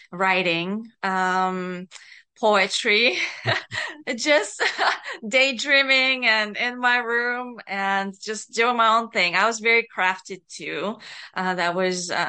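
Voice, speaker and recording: A middle-aged woman with a Bulgarian accent answers interview questions casually. Her voice is bright and smooth. She speaks slowly and hesitantly, in a giggly manner. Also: mid-range voice. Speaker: podcast guest, author and writing coach.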